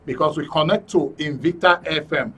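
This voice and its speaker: A man's voice: a little high, moderate pace, fairly bright tone, slightly rough. A man with a Nigerian accent hosting a live phone-in programme in a polite, formal way, repeating phrases for emphasis. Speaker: radio and TV host.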